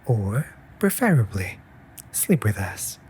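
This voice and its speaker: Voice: medium pitch, big pitch swings, slow, breathy edge, full character voice. A performer playing a playful, affectionate raven familiar in an intimate comfort audio roleplay, with teasing, mock-offended delivery. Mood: cheeky teasing. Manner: teasing, mock-offended, breaking into soft murmurs.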